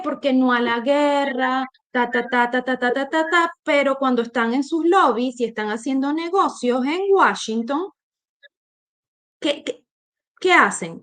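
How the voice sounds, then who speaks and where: A woman's voice: high-pitched, animated, measured pace, a bit bright. A woman from Venezuela with a Venezuelan accent, co-hosting a political discussion in an emphatic, opinionated way, often restarting her phrases. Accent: Venezuelan Spanish. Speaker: co-host.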